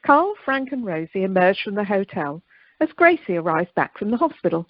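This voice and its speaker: A woman's voice: medium pitch, sing-song, a little fast, no echo, highs cut off. A woman narrating a radio play from a script.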